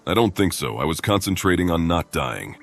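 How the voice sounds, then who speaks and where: An actor playing a gruff, stoic male adventurer in a fantasy game, curt and matter-of-fact. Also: low-pitched, lively intonation, fairly quick, faint music behind.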